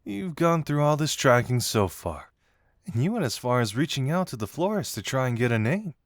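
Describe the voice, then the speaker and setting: Casting: male actor as teasing young detective confidant for audio roleplay, animated intonation, amused conversational delivery, dry close sound. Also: medium pitch, normal speed, no room sound. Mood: amused, recapping. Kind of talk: intimate scripted audio roleplay addressed to the listener.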